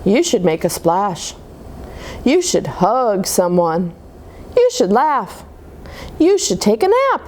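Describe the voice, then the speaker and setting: A woman's voice: mid-range voice, big pitch swings, slow, long pauses, a little mellow. A woman voicing cheerful, pushy jungle animals in a children's storybook, coaxing a friend to join in. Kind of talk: children's storybook character reading.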